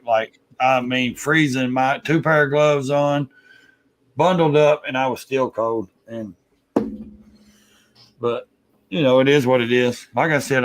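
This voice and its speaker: A man's voice: medium pitch, rough recording. A man, hosting a casual chat in a laid-back, rambling way. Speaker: host.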